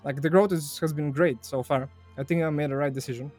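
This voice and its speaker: A man's voice: a little high, steady tone, fairly quick, darkish tone, faint music behind. Young man. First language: Bulgarian. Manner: chatty, laughs easily, repeats phrases. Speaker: guest. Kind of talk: casual podcast interview.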